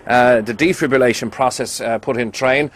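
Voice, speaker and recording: A man with an Irish accent playing an officious, deadpan taxi association official on a prank call, in hesitant, mock-formal language. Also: medium pitch, moderate pace, close to an everyday voice. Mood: officious, grave. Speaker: radio prank caller.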